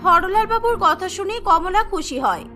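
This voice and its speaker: A woman's voice: very high voice, lively intonation, normal speed. A woman reading scripted narration for a cartoon story, with music behind her.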